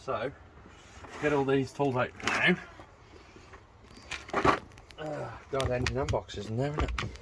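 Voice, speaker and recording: A man's voice: mid-range voice, slow, long pauses, very noisy. A man working as a mechanic, explaining technical details in a matter-of-fact, teasing way during casual garage chat. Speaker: mechanic helping with the build. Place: garage workshop.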